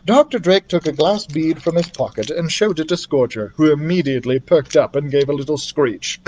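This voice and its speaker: A man reading a children's adventure story aloud as a library storyteller, in an expressive narrating style. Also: on the high side, moderate pace, rough recording.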